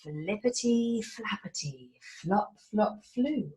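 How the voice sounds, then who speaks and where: A woman reading a rhyming picture book aloud in an animated, theatrical way. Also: medium pitch, slow pace, rough recording. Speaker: narrator.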